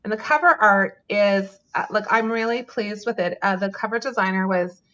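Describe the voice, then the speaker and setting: A woman's voice: mid-range voice, normal speed, fairly bright tone, lo-fi sound. A woman author answering interview questions over a remote call, thoughtful and chatty, with frequent ums and light laughs. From Canada. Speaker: author guest.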